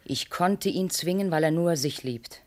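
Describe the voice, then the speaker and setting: An actress playing a low-voiced commanding temptress. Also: female voice, measured pace, natural voice. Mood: seductive. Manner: calm, insistent, sometimes whispering. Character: cold, commanding woman urging a murder.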